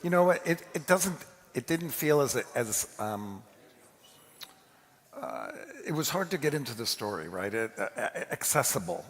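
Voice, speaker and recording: Man, rabbi addressing congregation, informal delivery, mid-pitched voice, long hall reverb. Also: very animated, a little slow. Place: large synagogue sanctuary.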